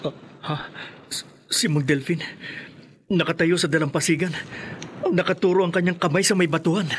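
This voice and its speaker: An actor with a Filipino accent playing a frightened, remorseful younger brother, earnest and dramatic in a radio horror play. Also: male voice, on the high side, steady tone, moderate pace, slight background noise, close to an everyday voice. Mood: startled.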